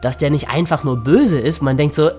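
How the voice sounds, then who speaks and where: A man's voice: fairly high pitch, normal speed, warm tone, soft background music. A man being interviewed, thinking aloud casually about his subject. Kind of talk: casual reflective interview talk.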